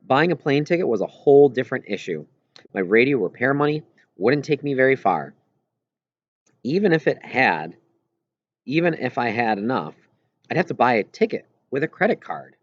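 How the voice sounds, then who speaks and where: A man's voice: mid-range voice, very animated, moderate pace, pauses often. A man reading a first-person story aloud as scripted audiobook narration. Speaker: audiobook reader. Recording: roomy echo, slightly dull lo-fi sound.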